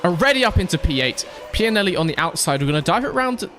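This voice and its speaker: A man's voice: sits high, sing-song, fast talker, fairly bright tone, slight background noise. A man streaming a racing game, commenting playfully and joking with his chat. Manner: playful, jokey, talks to chat. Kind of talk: live gameplay commentary.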